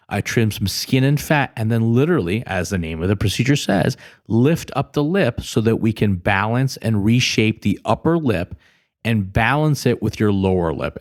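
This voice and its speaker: A man's voice: on the low side, darkish tone. An American man speaking as a doctor and podcast host, explaining in a conversational, emphatic way and addressing the listener directly. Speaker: plastic surgeon hosting a podcast. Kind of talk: conversational patient-education explainer.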